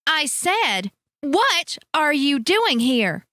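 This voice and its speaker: A woman voicing an angry, jealous grown woman who makes sharp, demanding outbursts. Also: high voice, sing-song, drawn-out words, dry recording, clean studio sound, pitched above the performer's own voice, exaggerated voice. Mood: outraged. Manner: sharp, demanding, raises her voice.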